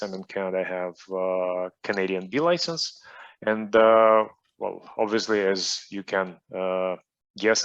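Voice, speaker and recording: Hesitant Russian-accented man, low voice. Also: a bit bright, lo-fi sound. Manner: hesitant, many fillers and restarts. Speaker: guest soccer coach. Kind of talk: online interview.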